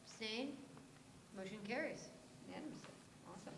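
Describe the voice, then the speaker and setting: A woman chairing a public board meeting, moving briskly through motions and votes in a procedural, slightly informal way. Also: on the high side. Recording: roomy meeting room with background noise.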